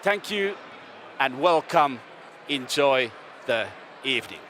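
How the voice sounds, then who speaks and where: A man's voice: mid-range voice, animated, takes it slowly, heavy background noise. Upbeat Finnish-accented stream host, man. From Finland. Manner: upbeat, chatty, playful. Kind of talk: live event stream hosting. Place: desk upstairs at a restaurant venue.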